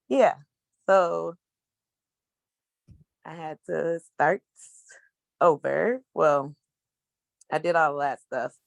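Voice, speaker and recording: A man in his thirties giving a frank, casual personal testimony as a panelist, with slangy speech and hesitant pauses. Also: a little high, gravelly, dry recording. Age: 30s.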